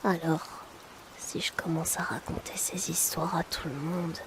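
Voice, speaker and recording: A woman's voice: low voice, fairly even intonation, unhurried. A woman playing a polite, chatty, discreet potion delivery assistant in a whispered ASMR roleplay, hesitant and confiding. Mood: discreet, concerned.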